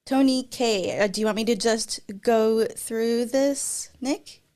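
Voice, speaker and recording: A woman's voice: a little high, takes it slowly, darkish tone. A woman in her thirties, a native Tagalog speaker, answering audience questions casually on a video call with lots of fillers. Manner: casual and chatty, many fillers and restarts. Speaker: guest speaker. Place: indoor room. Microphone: webcam video call.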